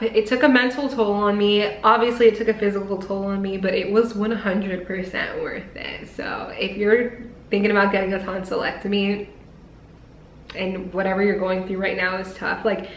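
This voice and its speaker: A woman's voice: mid-range voice, a bit bright, roomy sound, a little hiss or hum. A young woman vlogging casually to camera, chatty and full of "like", her voice a bit strained while she heals from throat surgery. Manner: chatty, peppered with "like". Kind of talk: casual vlog talk to camera.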